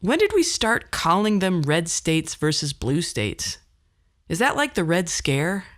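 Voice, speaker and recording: A middle-aged woman delivering a scripted podcast monologue as host, phrasing things in a wry, deliberate way. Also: fairly low pitch, very animated.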